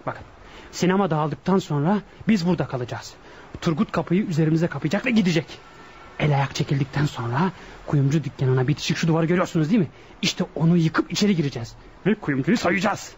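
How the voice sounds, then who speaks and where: An actor playing a bossy, impatient leader of a burglary gang in a radio drama, commanding and dismissive. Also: male voice, on the high side, fast talker, a little mellow, close to an everyday voice. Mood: commanding, scheming. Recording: radio play with faint background noise.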